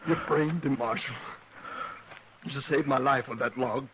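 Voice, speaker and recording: A man's voice: mid-range voice, measured pace, a bit bright, slight background noise, natural voice. An actor playing a nervous male outlaw sidekick who stammers fearfully, on a 1950s radio western. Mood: grateful. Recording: dull old radio recording.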